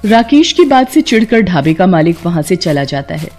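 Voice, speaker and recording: A woman's voice: fairly low pitch, very animated, moderate pace, darkish tone, faint music behind. A woman reading scripted narration for a children's moral story.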